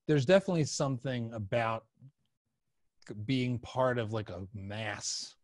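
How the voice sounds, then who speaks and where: A man in his forties hosting a casual interview, halting and rephrasing his questions as he goes. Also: medium pitch, no echo, studio quality. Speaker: podcast host. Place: small home studio room, indoors. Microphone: close podcast microphone on a video call.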